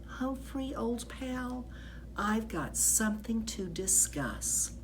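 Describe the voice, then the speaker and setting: Brief: woman plays friendly middle-aged janitor in a kids' storybook, earnest, excitable, slow-paced, faint noise. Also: fairly high pitch, voice pushed up. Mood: earnest, hopeful. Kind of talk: children's storybook character voice.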